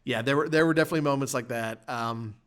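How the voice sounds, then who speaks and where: A male podcast host with a middle-pitched voice talks through film scenes casually. His delivery is halting and rambling, stopping and starting as he thinks through each point. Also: a bit bright, smooth. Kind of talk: casual film discussion, recapping and reviewing scenes.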